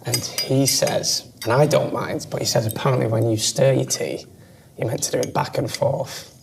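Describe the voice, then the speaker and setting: Very deep gravelly woman, Lancashire accent. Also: fairly even intonation, moderate pace, slight background noise. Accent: Lancashire English. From England. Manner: chatty, teasing, explains slang. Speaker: interviewer. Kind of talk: casual interview chat. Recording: slightly distant mic, faint clatter.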